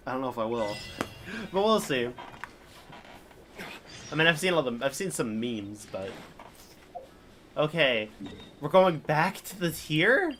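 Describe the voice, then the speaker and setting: A man casually commentating as a live game streamer, rambling and halting, sounding mildly bored. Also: fairly high pitch, big pitch swings, a bit bright, a little hiss or hum. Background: video game music and dialogue.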